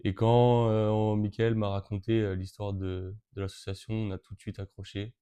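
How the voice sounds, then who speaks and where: Young man from Brittany, late teens, flat low delivery, halting with many restarts. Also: clear, resonant tone. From Brittany, France. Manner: hesitant, frequent fillers and restarts. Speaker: interviewee, event co-organizer. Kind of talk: casual interview answers.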